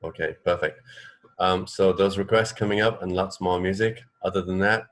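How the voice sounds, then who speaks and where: A man with a low voice hosts a relaxed, conversational radio show. He feels his way through explanations, rambling a little and pausing to find the next phrase. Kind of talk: casual co-hosted radio chat.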